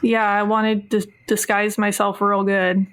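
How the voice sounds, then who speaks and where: A woman's voice: mid-range voice, level tone, measured pace, a bit bright, smooth. A woman playing a tabletop roleplaying game, informally thinking aloud as she describes what her character does. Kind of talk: casual chat, describing character's actions.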